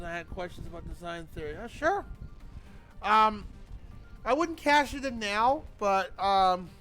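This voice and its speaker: A man's voice: high voice, fairly bright tone. A man live streaming a game, chatting in an informal, rambling, sweary way. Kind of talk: casual chat while gaming. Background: video game music.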